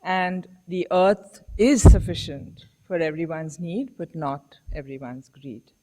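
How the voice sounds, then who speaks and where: Woman prof giving a talk, takes her time, calm steady delivery, asks the room big questions. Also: fairly low pitch. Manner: measured, reflective, rhetorical questions. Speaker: professor giving a public lecture. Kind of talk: public lecture. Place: lecture hall.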